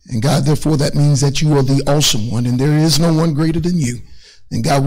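A middle-aged man, a church minister, speaking in a halting, earnest way as he addresses his congregation and prays. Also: on the high side, gravelly, a little mellow, poor audio. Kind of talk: closing announcements and prayer. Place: indoors in an empty church sanctuary.

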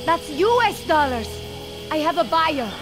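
A woman's voice: sits high, expressive, unhurried. A woman playing a desperate, scheming female smuggler in a video game, urgent and insistent. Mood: urgent bargaining. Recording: music under the voice.

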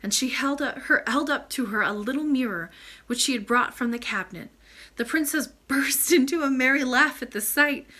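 A woman in her thirties reads aloud as a bedtime storyteller. Her voice is bright and expressive, recorded at about arm's length in a small room. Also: high voice, normal speed. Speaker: storytime reader. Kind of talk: expressive bedtime story reading with informal asides. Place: small room with bookshelves, indoors.

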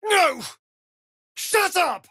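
An actor with an English accent playing a sardonic, flamboyant vampire spawn rogue in a video game, theatrical and arch in manner. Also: male voice, very high-pitched, lively intonation, very slow, sharp tone, no echo, studio quality, heavily acted. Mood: furious. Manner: theatrical, arch, drawling with sighs. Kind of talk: video game character acting.

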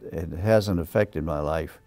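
Older man with a low gravelly voice. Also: mellow, no room sound, faint music behind. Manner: plain, matter-of-fact, unhurried answers. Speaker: interview guest, former pathologist. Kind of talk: sit-down interview. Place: studio set with wood-panel wall.

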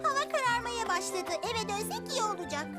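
Cartoon bee kid, very high voice, playful, swooping intonation, music behind. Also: female voice, animated, fairly quick. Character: cheerful, teasing young child bee. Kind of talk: cartoon dubbing.

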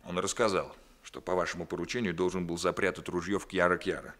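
A male actor in a Soviet radio drama playing a composed, ironic police captain who questions a suspect in a measured, pressing way. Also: low voice, little pitch movement, fairly bright tone. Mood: probing, ironic.

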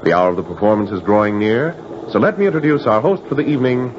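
An American man announcing in formal, grand, measured 1940s radio style. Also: on the low side, noisy background. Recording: dull lo-fi 1940s radio broadcast. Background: music.

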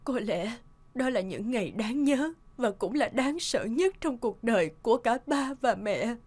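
A woman playing a gentle, remorseful mother confiding in her son in an animated family drama, soft and earnest. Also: on the high side, unhurried. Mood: reflective, regretful.

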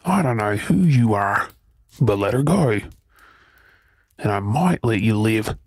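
A man playing a defiant, protective werewolf fighter in an ASMR roleplay drama, blunt and threatening, with a rough, husky voice. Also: fairly low pitch, lively intonation, unhurried, no echo, clean studio sound. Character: defiant young male werewolf fighter protecting his lady.

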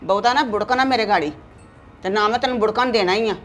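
A woman's voice: medium pitch, quick pace, fairly bright tone, soft background music, close to an everyday voice. An actress with a Punjabi accent playing a stubborn mother who scolds her son and refuses to give in. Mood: defiant. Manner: defiant, scolding.

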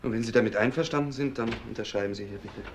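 An actor playing a businesslike police assistant with a brisk, factual manner in a crime radio drama. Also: male voice, on the low side, slight background noise, natural voice.

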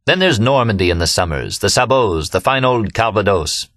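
A man's voice: on the low side, animated, normal speed, a bit bright, dry recording, clean studio sound. A male audiobook narrator voicing a pompous, effusive young American man who talks in a gushing, affected way. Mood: wistful, grand.

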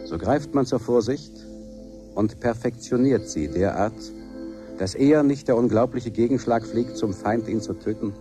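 An actor playing a solemn narrator of doom, declaiming elevated verse in a ceremonious manner in a 1970s radio play. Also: male voice, mid-range voice, moderate pace, lightly acted. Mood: grave, declamatory. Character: solemn male chorus-like narrator of nuclear doom. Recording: 1970s radio production with music behind.

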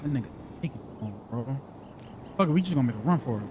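A man chats casually during a role-play game over voice chat. His speech is quick, slangy and repetitive. A faint noise sits in the background. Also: mid-range voice, animated. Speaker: fellow role-play gamer.

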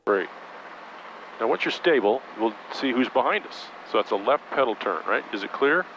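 A man coaching a student pilot in a helicopter cockpit, giving calm, coaxing instructions in short commands over a headset intercom. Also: mid-range voice, moderate pace. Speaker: flight instructor. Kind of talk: in-flight coaching. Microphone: aviation headset intercom. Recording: muffled intercom audio with engine noise.

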